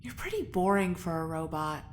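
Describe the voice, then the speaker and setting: A woman's voice: on the low side, sing-song, normal speed, slight background noise. A woman acting in a naturalistic audio drama as a blunt, unimpressed character with dry wit. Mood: blunt, needling.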